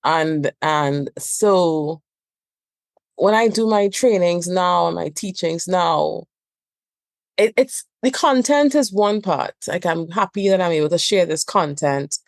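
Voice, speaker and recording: Trinidadian woman therapist, deep-leaning voice, emphatic and earnest, dry studio sound. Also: slow, dry recording. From Trinidad and Tobago. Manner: earnest, emphatic, repeats phrases for stress. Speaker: couples therapist and trainer. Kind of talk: reflective podcast interview talk.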